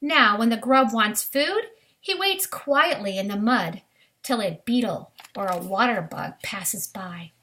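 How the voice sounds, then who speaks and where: A woman's voice: medium pitch, animated, a little slow, bright, forceful tone. A woman reading a children's science book aloud, addressing young listeners directly in an instructive way, with brief asides of her own. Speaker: reader of a children's nature book.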